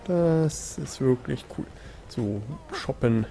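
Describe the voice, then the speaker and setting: A man's voice: medium pitch, lively intonation, slow, creaky, warm tone. A German man giving casual let's play commentary, musing and thinking aloud. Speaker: let's player.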